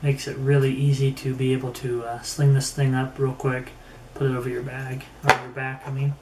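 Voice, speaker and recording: A man giving a casual, unhurried product review, haltingly thinking aloud as he goes. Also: mid-range voice, completely flat delivery. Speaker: gear reviewer. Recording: roomy echo with faint background noise.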